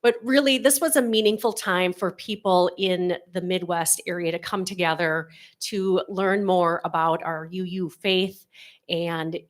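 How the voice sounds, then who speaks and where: Low-voiced woman, congregation president, earnest, lots of ums. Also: steady tone, measured pace. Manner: earnest, with many ums and restarts. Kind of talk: announcement to congregation.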